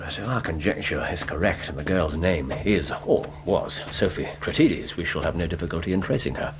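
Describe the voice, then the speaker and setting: An actor playing a brisk, keen-minded English gentleman detective in a radio drama, speaking precisely with lively bursts. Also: sits low. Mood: animated, pleased. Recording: noisy radio drama with ambient sound effects.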